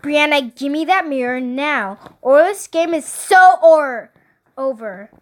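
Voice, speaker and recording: A woman as high-voiced, exasperated big sister. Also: expressive, slow, fairly bright tone, exaggerated voice. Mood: alarmed, angry. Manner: melodramatic, indignant.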